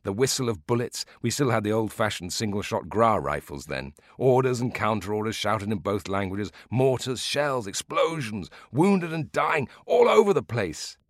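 Man voicing an old Greek veteran, raised pitch, dramatic digressive storyteller, drawn-out lists, storybook reading, dry close recording. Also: animated, no echo, lightly acted. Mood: excited reminiscence.

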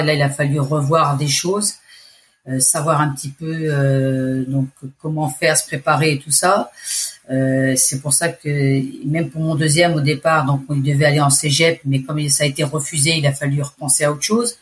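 A woman in her forties with an accent from France, telling her story in a casual interview, chatty and halting. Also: low voice. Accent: French from France. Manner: chatty, hesitant, restarts phrases. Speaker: expat interviewee.

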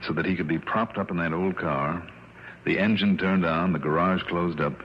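Sharp radio sleuth: deep, probing, confident delivery, old-time drama, muffled sound with clear hiss. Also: male voice, steady tone, normal speed. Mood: brisk, confident. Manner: probing, self-assured, clipped. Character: sharp, dogged male insurance investigator narrating his case. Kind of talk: 1960s radio drama acting and narration.

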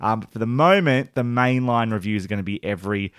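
A man hosting a casual TV review podcast, his voice croaky and worn from yelling. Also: on the low side, fast, no echo, studio quality. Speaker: podcast host.

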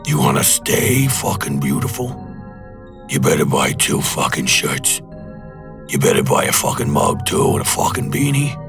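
A man's voice: very deep voice, big pitch swings, normal speed, vocal fry, over music, pitched below the performer's own voice, full character voice. A man playing a menacing, foul-mouthed tough guy pushing merchandise in an aggressive comic promo. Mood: pushy menace. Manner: threatening, slangy, pushy.

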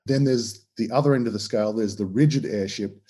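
A man's voice: lowish voice, fairly quick, dark tone, husky. An Australian man, a historian, explaining things in an interview with many ums and restarts. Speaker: historian guest.

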